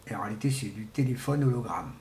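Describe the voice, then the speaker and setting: A man giving an informal, rambling monologue to viewers, speaking haltingly and often repeating words and restarting. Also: lowish voice, animated, darkish tone. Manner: often clears throat. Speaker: vlogger. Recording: echoey room with faint background noise.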